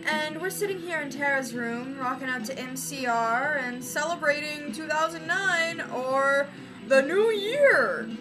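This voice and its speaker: A woman's voice: high voice, unhurried, rough recording. A young woman introducing a homemade video, with music behind her.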